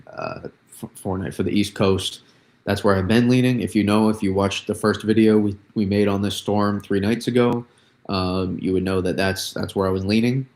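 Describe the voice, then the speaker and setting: A man with vocal fry giving casual live weather commentary, often halting and restarting his words as he thinks. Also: lowish voice, darkish tone. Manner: halting, repeats and restarts words mid-thought. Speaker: livestream weather analyst.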